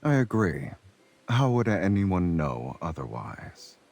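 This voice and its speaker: Very deep, husky teasing charmer. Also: male voice, big pitch swings, darkish tone, full character voice. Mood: amused, sincere. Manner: unhurried, playful teasing. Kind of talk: romance game voice acting. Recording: music behind the voice.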